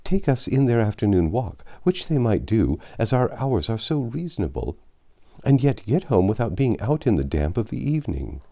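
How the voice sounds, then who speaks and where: A man reading a storybook, voicing a gentle, fretful elderly father who fusses anxiously and plaintively. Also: fairly low pitch, sing-song, moderate pace, creaky, voice pushed up, exaggerated voice. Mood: fretful, resigned. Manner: fussy, plaintive. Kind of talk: audiobook character voice.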